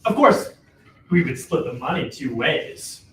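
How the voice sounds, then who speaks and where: Seeking an actor for a scheming, wheedling old dad for a stage reading; animated, repeats himself, distant from the mic. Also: mid-range voice, unhurried. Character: Joe, cajoling, exasperated older father, scheming scavenger. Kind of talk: dramatic play reading. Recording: roomy, distant mic, faint noise.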